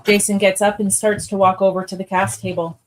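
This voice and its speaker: A woman's voice: medium pitch, flat delivery, brisk, slight background noise, low-quality recording. A woman narrator reading screenplay stage directions aloud in a scripted live read.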